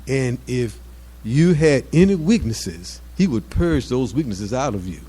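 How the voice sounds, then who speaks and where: A man in his seventies giving an informal off-the-cuff address to his community, halting and often repeating words as he searches for phrases. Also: medium pitch, animated, warm tone, slightly rough. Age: 70s. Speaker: mosque community elder speaking at an anniversary event.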